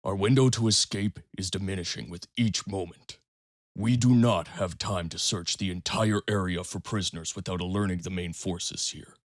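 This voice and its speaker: A man's voice: sits low, fairly even intonation, moderate pace, no echo, studio quality, lightly acted. A man playing a stern, pragmatic samurai warrior in a fan audio drama, firm and admonishing. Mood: weary, urgent.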